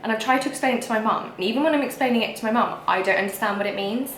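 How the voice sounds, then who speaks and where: A woman's voice: on the high side, very fast, fairly bright tone, echoey room, a little hiss or hum. A young woman with a whiny voice, ranting frankly to camera in a casual vlog. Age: young adult. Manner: emphatic and frank, with frequent fillers. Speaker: vlogger.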